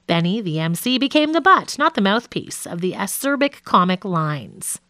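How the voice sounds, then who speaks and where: A woman reading a scripted nonfiction audiobook in a measured, articulate way. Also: mid-range voice, very animated, pushed and bright, no echo, studio quality. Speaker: audiobook narrator.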